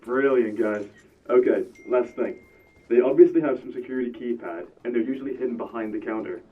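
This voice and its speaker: An actor playing a commanding, teasing criminal ringleader in a radio drama. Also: male voice, mid-range voice, brisk, natural voice. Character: bossy, mocking criminal ringleader directing a heist. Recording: distant, thin radio-like lo-fi sound.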